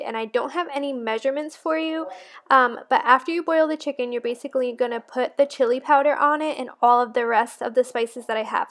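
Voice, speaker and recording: A young woman chatting casually to viewers as she talks through family recipes in a voice-over. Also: sits high. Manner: chatty, talking straight to viewers with fillers. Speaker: family vlogger.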